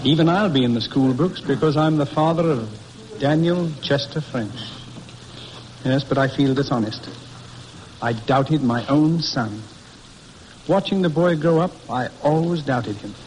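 A man's voice: on the high side, unhurried, darkish tone, close to an everyday voice. An actor playing a stern, articulate middle-aged American judge and father, formal and dryly sarcastic, in an old-time radio drama. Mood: wistful, regretful. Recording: noisy vintage radio recording.

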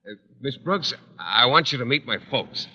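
An actor playing a naive, bashful bachelor in an old radio comedy, earnest, guileless and slightly halting. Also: male voice, mid-range voice, sing-song, pushed and bright, heavily acted. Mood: shy, eager. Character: naive, bashful, wholesome bachelor, oblivious and eager. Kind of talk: 1940s radio comedy acting. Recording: dull, reverberant old radio recording.

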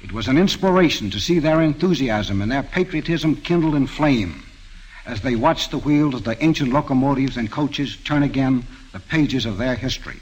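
An American man giving formal, measured remarks as a guest on a 1940s radio program. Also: medium pitch, expressive, slightly rough, muffled recording. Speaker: guest observer on a quiz program.

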